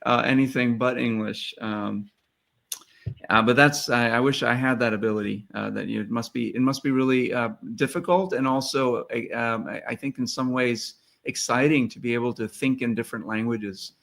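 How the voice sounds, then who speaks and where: A man's voice: mid-range voice, steady tone, normal speed, gravelly, fairly bright tone. A man hosting a podcast interview, encouraging his guest and asking follow-up questions with light fillers. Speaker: podcast host. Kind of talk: conversational interview.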